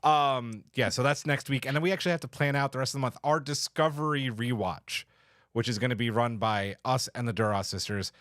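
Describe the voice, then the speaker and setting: A man with an average-pitched voice hosts a podcast in an informal, slangy way. He chats casually and swears mildly now and then, recorded close and dry. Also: fairly quick, fairly bright tone, no echo. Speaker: podcast host. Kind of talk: casual chat, wrapping up a podcast.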